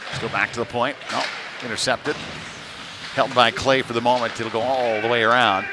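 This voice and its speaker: A man doing live hockey play-by-play in a loose, conversational way, with hesitant pauses mid-call. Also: mid-range voice, little pitch movement. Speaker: play-by-play commentator. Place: ice rink. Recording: noisy live rink ambience.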